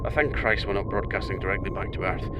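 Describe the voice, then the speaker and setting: A man's voice: deep voice, quick pace, heavy background noise, lightly acted. A man voicing an impatient, sardonic male ship captain in an audio drama. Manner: pushy, exasperated, dry sarcasm.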